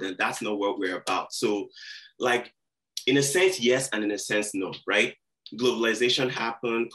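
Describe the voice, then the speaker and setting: A man in his twenties with a somewhat low voice. He tells stories as a podcast guest in a laid-back way, full of slang. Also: fairly bright tone, low-quality recording. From Nigeria. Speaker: guest rapper. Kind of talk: casual podcast interview.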